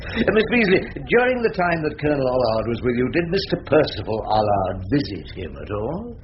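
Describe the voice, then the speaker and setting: An actor playing a wry, theatrical older English barrister who argues floridly and narrates sardonically in a radio drama. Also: male voice, medium pitch, very animated, fairly quick, close to an everyday voice. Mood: courtroom questioning. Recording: dull, very noisy radio drama recording.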